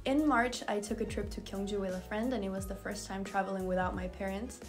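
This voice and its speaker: A woman's voice: medium pitch, fairly even intonation, a little fast. Young woman, music bed. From Argentina. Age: young adult. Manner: upbeat, talks through her months in turn. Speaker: university student. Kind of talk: interview to camera. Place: indoor university building lobby. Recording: music playing under the voice.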